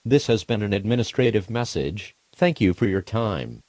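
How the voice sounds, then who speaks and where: Casting: man playing impersonal emergency broadcast announcer, lowish voice, dry close sound, measured formal reading. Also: lively intonation, darkish tone, no room sound, natural voice.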